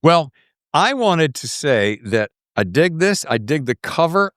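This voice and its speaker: A man from the US South hosting a podcast in casual interview chat, animated and rambling with folksy slang. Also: on the high side, a little slow, dry recording, studio quality. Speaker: podcast host.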